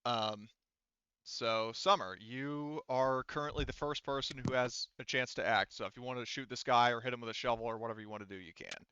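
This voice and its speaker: Guy game mastering, casual and informal, bright punchy voice, dry but kinda dull lo-fi mic. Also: mid-range voice, brisk, clean tone, no room sound. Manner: informal, occasional swearing. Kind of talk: casual narration of tabletop roleplaying game.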